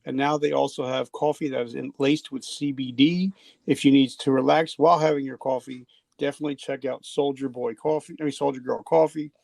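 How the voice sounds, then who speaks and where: Gravelly older man hosting a live podcast. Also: mid-range voice, steady tone, fairly quick, a little mellow, no room sound. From New Jersey. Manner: loose and chatty, often trailing into laughter. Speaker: podcast host. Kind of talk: casual live podcast chat.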